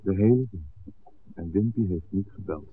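An uneasy garage worker with a lowish voice, acted by a man, insistent and worried in a vintage radio drama. The recording is narrowband and slightly noisy. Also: normal speed, natural voice. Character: Tom, anxious garage worker. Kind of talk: 1960s Dutch radio drama acting.

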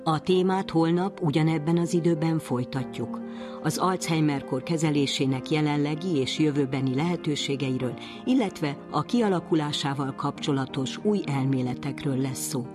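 Casting: female radio presenter, deep register, scripted delivery, music behind.

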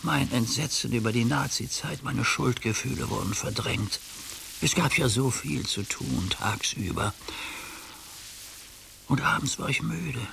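An actor in a German radio play playing an anguished ageing judge who speaks for his neglected heart in a halting, hushed confession. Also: mid-range voice, lively intonation, gravelly, heavily acted. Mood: bitter, sorrowful. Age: older. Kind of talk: German radio play acting, intimate monologue. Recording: hissy radio play recording.

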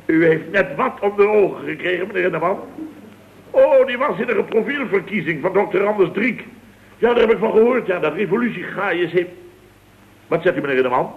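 Blustering boss, old radio comedy. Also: male voice, high-pitched. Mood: flustered, indignant. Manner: blustering, rambling, emphatic anecdote-telling. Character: blustering, easily flustered male boss of a building firm. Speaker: comedy actor. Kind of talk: late 1960s Dutch radio comedy acting. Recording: dull, noisy vintage radio recording.

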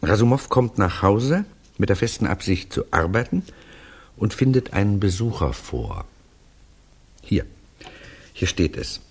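A grave storyteller in a 1960s radio drama. His voice is low and somewhat husky. He recounts things in measured, literary phrases, deliberate and serious. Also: sing-song, heavily acted. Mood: reflective.

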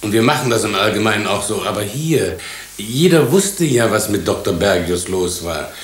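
An actor playing an earnest, matter-of-fact senior hospital physician explaining himself in a radio drama. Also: male voice, fairly low pitch, expressive, normal speed, close to an everyday voice. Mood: earnest, uneasy. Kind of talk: German radio drama acting. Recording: roomy, echoing radio drama studio.

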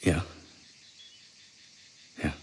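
An actor playing a shaken, grieving middle-aged father in a radio play, speaking in halting, restrained phrases. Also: a little hiss or hum. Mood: stunned. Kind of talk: naturalistic radio play acting.

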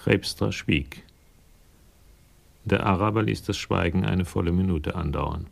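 An actor as the composed narrator of a detective radio drama, reading scripted prose. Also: male voice, deep voice, measured pace, gravelly, heavily acted. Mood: sober.

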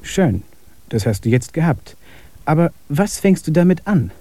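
A man's voice: on the high side, big pitch swings, measured pace, warm tone, no echo. A man playing a skeptical, rational camper in a radio play dialogue.

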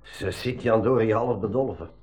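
A man's voice: fairly low pitch, moderate pace, darkish tone, faint music behind, lightly acted. A man with a Flemish accent playing a practical, worried expedition mechanic, sober and cautionary, in a 1980s school radio drama. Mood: grave, worried.

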